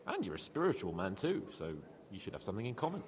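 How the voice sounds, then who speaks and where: Over clear crowd chatter comes a medieval nobleman with a fairly low, very lively voice. He is a jovial, teasing game character, cocky and wry, who savors life. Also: fast. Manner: teasing, animated. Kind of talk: video game dialogue acting.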